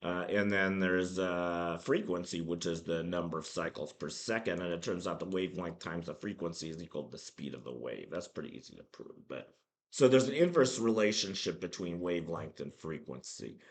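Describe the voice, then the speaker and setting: A man with a hoarse voice from a cold, hosting a casual math lesson and explaining things with many ums, uhs and restarts. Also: low voice, expressive, moderate pace. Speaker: math channel host.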